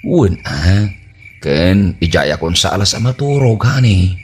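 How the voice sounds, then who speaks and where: A man's voice: low voice, big pitch swings, measured pace, a little hiss or hum. A man playing a sly, sweet-talking cheating husband in an Ilocano comedy radio drama, wheedling and evasive, with an Ilocano Filipino accent.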